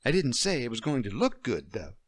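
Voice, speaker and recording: A man reading a story aloud, voicing a kind, playful young woman who talks gently and plays along with a child's pretend game. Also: mid-range voice, very animated, brisk, no room sound. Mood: gentle.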